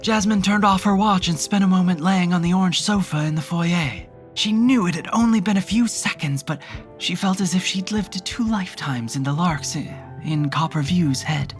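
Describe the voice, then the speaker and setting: A narrator reading scripted dramatic fiction in a measured, solemn manner. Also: medium pitch, faint music behind.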